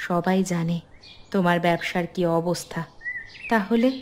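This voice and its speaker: A woman's voice: fairly low pitch, unhurried, a little hiss or hum, natural voice. A woman voicing a sceptical, resigned middle-aged wife and mother in a cartoon, speaking in a subdued way. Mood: doubtful worry. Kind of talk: Bengali cartoon voice acting.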